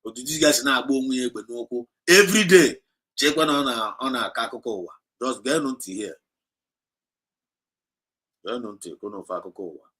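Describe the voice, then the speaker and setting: A man's voice: mid-range voice, animated, fast talker, long pauses, pushed and bright, breathy edge, poor audio. A man with a Nigerian accent hosting a livestream, exhorting his listeners and slipping between English and Igbo as he repeats his points. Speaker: livestream host. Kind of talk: impassioned livestream talk.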